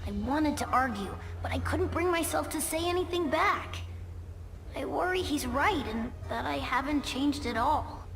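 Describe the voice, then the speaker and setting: A woman's voice: high-pitched, expressive, normal speed, vocal fry. A woman voicing an earnest, brainy young boy fox sidekick in a video game cutscene, precise and sincere. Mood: self-doubting.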